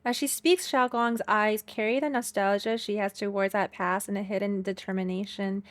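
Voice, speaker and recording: A woman's voice: medium pitch, fairly even intonation, moderate pace, no echo. A woman streamer reacting casually to a game and reading its narration aloud.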